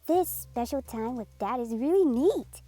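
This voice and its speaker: A woman's voice: sits high, lively intonation, a little slow, warm tone, soft background music. A woman voicing a whining young boy in a storybook read-aloud. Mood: calm. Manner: whining, complaining.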